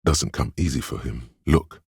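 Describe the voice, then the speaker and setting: An extremely deep, husky inner voice narrates a video game with grave compassion. The delivery is measured, solemn and knowing, recorded close and dry. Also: male voice, dry recording, heavily acted. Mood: grave, compassionate.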